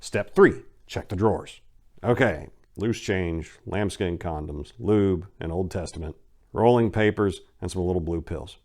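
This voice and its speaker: A man's voice: low voice, unhurried, darkish tone. A man narrating a crime short story as a dramatic reading, with theatrical, emphatic delivery.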